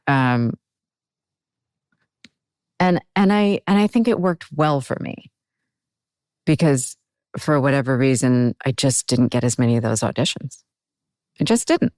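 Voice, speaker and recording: A woman with a deep voice, chatting reflectively as an interview guest and laughing easily. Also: measured pace, pauses often, a little mellow, dry recording, studio quality. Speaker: guest actor. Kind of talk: casual interview chat.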